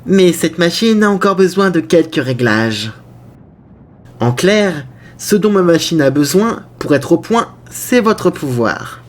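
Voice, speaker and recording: A man's voice: on the high side, lively intonation, unhurried. A man playing a scheming, grandiose mad scientist bent on world conquest in a cartoon fan dub, pompous and menacing.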